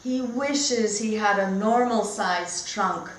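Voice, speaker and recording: A woman reads a children's story aloud as a teacher, at a slow and careful pace. She keeps her phrases short and simple and often turns to questions for her listeners. The room is echoey, with noticeable background noise. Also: medium pitch. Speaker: teacher demonstrating read-aloud techniques. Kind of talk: storybook read-aloud lesson for young learners.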